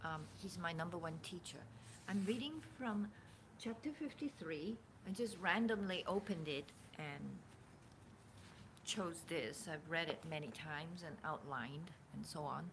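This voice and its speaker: A woman informally reading a spiritual dialogue aloud as a teacher, with a deliberate, expressive and at times halting delivery. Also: low-pitched. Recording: background room noise.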